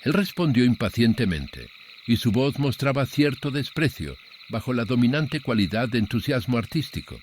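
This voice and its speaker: A man narrating a scripted horror story in a measured, literary storytelling manner. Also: medium pitch, very animated, a little hiss or hum. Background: background music.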